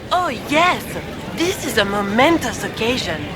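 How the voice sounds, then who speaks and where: A woman's voice: fairly high pitch, very animated, unhurried, a crowd in the background. A woman voicing a gracious, formal court historian in dramatic scripted fantasy game dialogue. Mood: earnest, excited. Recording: noisy game ambience behind.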